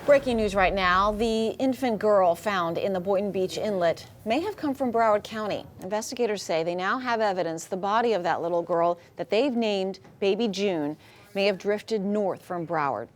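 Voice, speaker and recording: A woman's voice: mid-range voice, normal speed. A woman with an American accent reading scripted news as a television anchor.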